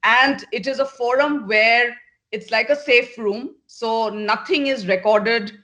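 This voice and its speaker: A woman's voice: on the high side, takes it slowly, sharp tone. A middle-aged woman from Northeast India, a managing partner at an agency, answering interview questions in an earnest, direct way, often repeating words for emphasis.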